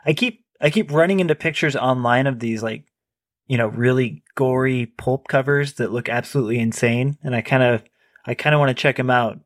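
A man's voice: medium pitch, fairly quick, no room sound, studio quality. A man co-hosting a book podcast, chatting casually with many fillers and restarts. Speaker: podcast co-host.

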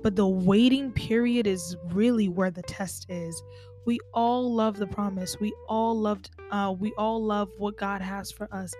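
A woman's voice: medium pitch, a little mellow. A woman hosting a casual faith podcast, talking straight to her listeners in a chatty, conversational way. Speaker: podcast host.

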